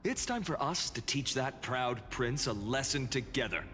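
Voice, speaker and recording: A man's voice: medium pitch, expressive, normal speed, close to an everyday voice. A man voicing an earnest, determined young warrior hero in a video game, with a dramatic, wry delivery. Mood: wry, confident. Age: young adult. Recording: long reverb with music behind.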